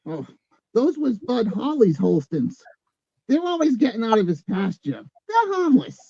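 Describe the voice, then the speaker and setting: A woman's voice: a little high, sing-song, measured pace, warm tone, husky, no echo, full character voice. A woman playing a folksy, blunt rural gossip in a comic play reading, with plain-spoken rustic grammar, on muffled audio.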